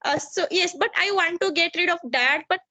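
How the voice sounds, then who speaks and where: A woman's voice: very high-pitched, normal speed, bright, forceful tone, no echo. A woman with an Indian English accent talking earnestly on a video call, with many restarts and "means" as a filler. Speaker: subscriber, conversation partner. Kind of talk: casual conversation practice on a video call.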